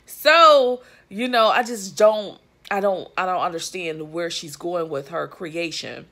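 Gravelly low-voiced woman vlogging. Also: a little slow, sharp tone. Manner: chatty, emphatic, lots of fillers and asides to viewers. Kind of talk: casual commentary to camera. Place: living room, on a couch indoors. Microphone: phone or webcam at arm's length.

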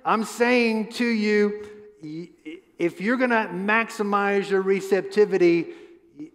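A man in his fifties preaching a conversational sermon on a church stage through a headset mic, asking the crowd questions and often restarting his words. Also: high-pitched. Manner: animated, gestures a lot while pacing the stage. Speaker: pastor. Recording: long hall reverb.

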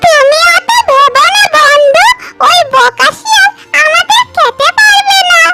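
Clever cartoon hen: extremely high childlike squeak, cheeky and confident, music clearly behind. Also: female voice, a little slow, sharp tone, heavily acted. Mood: friendly.

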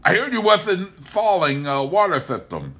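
Man doing a wheedling, higher-pitched farmer. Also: huge pitch swings, normal speed, fairly bright tone, exaggerated voice. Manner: rustic, angling for favours. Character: wheedling, scrounging farmer neighbour.

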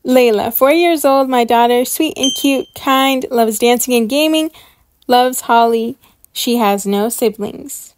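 Female reaction host, high voice, bubbly and chatty, full of enthusiasm, dry sound. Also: a little slow, dry recording. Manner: bubbly, gushing, excited. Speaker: YouTube host reviewing channels. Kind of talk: casual reaction commentary.

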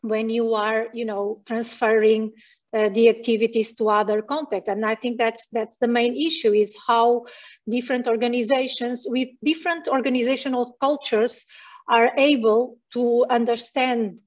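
A woman on a video call, her voice set a touch high but held to a flat, even line. She talks in a measured way with many pauses and fillers. The sound is dull and comes from some way off the mic. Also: distant mic. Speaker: panelist. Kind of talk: online panel discussion.

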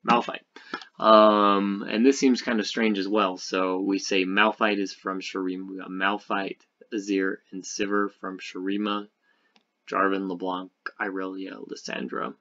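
A man giving casual, unscripted gaming commentary as a video host, speaking haltingly as he thinks aloud. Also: deep voice, level tone, fairly bright tone, dull audio.

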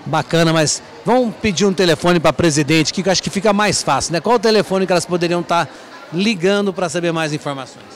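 A man's voice: on the high side, fairly quick. A middle-aged man reporting from an indoor event hall with a handheld mic, introducing guests in an upbeat, promotional way.

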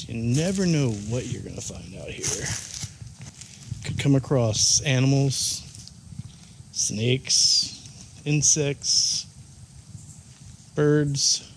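Man musing aloud on a woodland walk. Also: medium pitch. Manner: musing, unsure, thinking aloud. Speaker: vlogger. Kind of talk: casual walking commentary. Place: outdoors in the woods. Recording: noisy outdoor recording.

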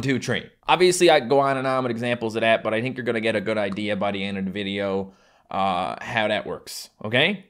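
A man's voice: mid-range voice, fairly bright tone. Twenties male vlogger, strong Newfoundland accent, joking self-mocking delivery, home desk setup. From Newfoundland, Canada. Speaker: YouTuber. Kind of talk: casual vlog to camera. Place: small home room indoors.

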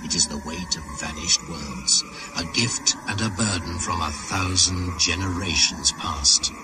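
A grave ancient shaman. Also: rough recording. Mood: solemn, ominous. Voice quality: deep, slow, grave and intoning. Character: ancient male shaman, Father Christmas, solemn and ominous. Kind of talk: 1980s radio drama acting. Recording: music bed behind the voice.

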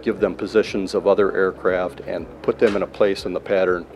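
A man in his fifties, an air traffic control manager, answering interview questions in a control tower in a plain, measured way with many uh's. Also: low voice, level tone. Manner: plain, measured, fills pauses with uh and um. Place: control tower cab, indoors, large windows. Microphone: handheld mic held by interviewer.

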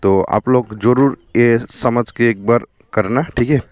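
A man's voice: mid-range voice, fairly even intonation, a little slow, a little mellow, no room sound. A young man with a Bengali accent, whose first language is Bengali, chatting casually while riding a motorbike along a riverbank, with an action camera on him. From West Bengal, India. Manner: chatty, repeats "theek hai" and "dekho". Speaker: motovlogger. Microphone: helmet or chest action camera.

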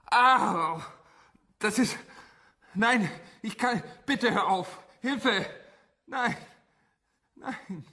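An actor playing a male test subject in a radio drama, expressive and halting. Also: sits high, exaggerated voice. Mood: panicked. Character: flippant male test subject, turned helpless victim. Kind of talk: German radio drama acting.

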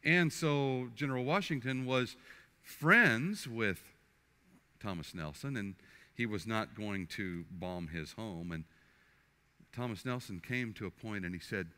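An older man, a pastor, preaching and then praying at the altar in a church sanctuary, earnest and halting, often restarting his words. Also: on the low side. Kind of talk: sermon and altar prayer. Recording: faint guitar music underneath. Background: soft guitar music.

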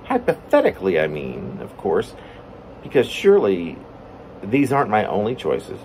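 A middle-aged man giving an informal reading of his own poem, halting and breaking into his own lines with asides. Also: fairly low pitch, low-quality recording. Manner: halting, self-interrupting delivery. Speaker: poet reading own work. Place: plain room at home, indoors. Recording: steady room hum, air conditioning.

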